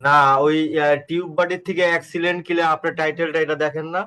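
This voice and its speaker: A man's voice: a little high, little pitch movement, fast talker, a bit bright. A man giving casual, directive advice in an informal lesson. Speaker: adviser in an online session. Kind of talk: casual instructional talk.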